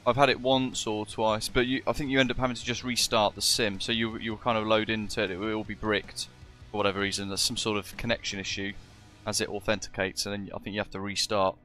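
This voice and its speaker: A British man streaming as a virtual ground controller, chatting casually with viewers and speaking radio calls, his voice a bit husky and chesty from a lingering virus. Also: fairly low pitch, level tone, quick pace, few pauses, sharp tone, faint music behind. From Britain. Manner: chatty, greets viewers as matey. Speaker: streamer acting as virtual air traffic controller. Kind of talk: live stream chat and radio phraseology.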